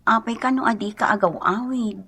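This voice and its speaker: A woman's voice: fairly high pitch, moderate pace, bright, forceful tone, husky, full character voice. A woman with an Ilocano accent playing an affectionate, concerned wife in a radio drama. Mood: caring.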